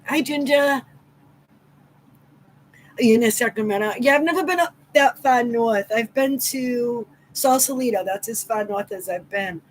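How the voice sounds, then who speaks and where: A woman's voice: a little high, unhurried, low-quality recording. A middle-aged woman from Massachusetts with a local accent, chatting casually and affectionately with viewers on a livestream and greeting them by name. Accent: Southeastern Massachusetts. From Massachusetts, USA. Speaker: livestream host.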